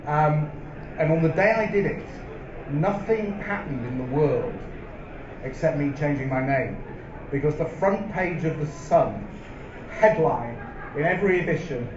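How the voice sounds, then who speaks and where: A middle-aged man, telling stories in a live on-stage interview, measured and full of ums and restarts. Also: on the high side. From England. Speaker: interviewee, company founder. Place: event hall with a live audience. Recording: roomy, dull live hall recording with noise.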